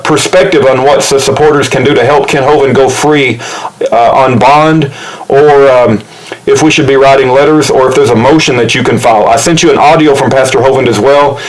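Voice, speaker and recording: A man speaking informally in an earnest, polite way, halting as he searches for words, like someone leaving a message or update for a cause. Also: mid-range voice, low-quality recording. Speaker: activist supporter leaving a voicemail and recording it for upload. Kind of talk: informal spoken appeal, phone message and update.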